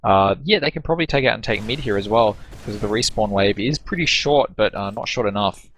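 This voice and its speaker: A man's voice: fairly low pitch, a little fast, a bit bright, a little hiss or hum. A man casting an online team shooter match, breaking down plays in an analytical, stop-start way. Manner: analytical, stumbling restarts. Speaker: esports caster. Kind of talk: game commentary.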